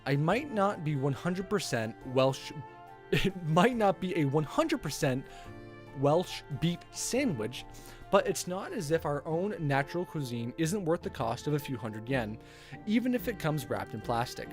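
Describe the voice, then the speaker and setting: A woman's voice: low voice, big pitch swings, unhurried, faint music behind. A woman streaming a visual novel, reading it aloud and chattily commenting on the story. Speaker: game streamer.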